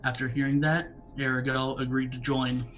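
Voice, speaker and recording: A man running a tabletop game as dungeon master, narrating in a measured, even storytelling voice from a home setup. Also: medium pitch, soft background music, poor audio.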